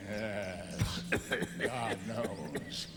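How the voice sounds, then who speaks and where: A man's voice: lowish voice, very slow. An actor playing a vengeful Venetian nobleman who narrates a gothic audio drama, theatrical and brooding, seething beneath sly false courtesy. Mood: brooding, gloating. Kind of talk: melodramatic gothic radio drama narration and dialogue. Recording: music under the voice.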